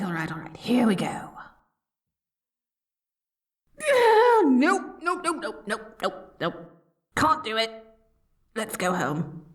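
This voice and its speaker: Casting: woman as haughty yet lovestruck evil queen for ASMR roleplay; slow, swooping, melodramatic delivery that can turn flustered, dry close sound. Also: fairly high pitch, no echo, full character voice. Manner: grandiose proclamations breaking into flustered stammering. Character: dorky, theatrical evil queen, haughty yet secretly smitten. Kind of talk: scripted ASMR roleplay acting.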